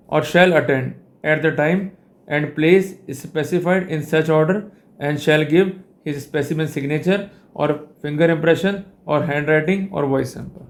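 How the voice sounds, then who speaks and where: Higher-pitched Indian man lecturing formally. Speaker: legal lecturer. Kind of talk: explanatory legal lecture.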